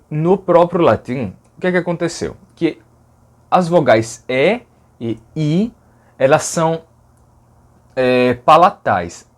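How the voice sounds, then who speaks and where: Guy doing a casual language lesson, mid pitch, keeps saying né and sounds stuff out. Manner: conversational, fillers like "né?", demonstrates sounds aloud. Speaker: language educator. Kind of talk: explanatory video lesson.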